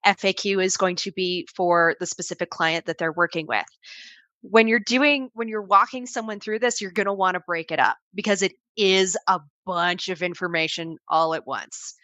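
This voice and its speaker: A woman's voice: lowish voice, fairly even intonation, moderate pace, bright, forceful tone, no echo. A woman on a video call giving conversational advice on training assistants, often acting out quoted examples. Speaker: panel guest advising on assistant training.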